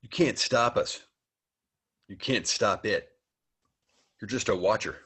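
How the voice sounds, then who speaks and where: A male actor plays a contemptuous cult member in a thriller. The voice is lowish and husky, slow and defiant. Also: fairly bright tone, heavily acted. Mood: defiant, scornful. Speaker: actor in a web series table read.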